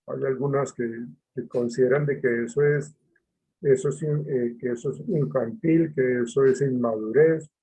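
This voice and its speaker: A man's voice: medium pitch, steady tone, normal speed, mellow, slightly rough, rough recording. A man, a teacher leading an online class discussion, hesitant with many fillers and repetitions.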